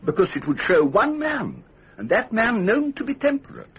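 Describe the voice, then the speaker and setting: An actor playing a scheming foreign ambassador in a radio drama, formal, flattering and elaborately courteous. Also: male voice, very high-pitched, moderate pace, slightly rough, heavily acted. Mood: insinuating, flattering. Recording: thin, phone-like lo-fi radio recording.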